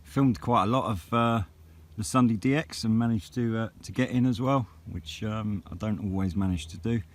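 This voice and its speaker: A man's voice: fairly low pitch, moderate pace. Casual Englishman vlogging with a CB radio. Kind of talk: casual vlog chat and radio exchanges. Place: outdoors on a hilltop.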